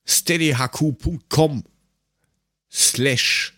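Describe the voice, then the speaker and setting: A man's voice: on the high side, very slow, pushed and bright, no room sound, studio quality. A man co-hosting a casual podcast, talking in a colloquial, rambling, slightly grumbling way. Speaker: podcast co-host.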